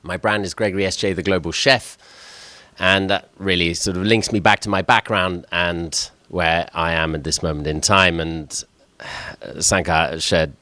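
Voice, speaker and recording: A man's voice: sits low, steady tone, moderate pace, bright, forceful tone, no room sound. A middle-aged man who grew up in Switzerland, a chef speaking as a guest, telling his personal story in a hesitant way and often repeating words as he starts his sentences.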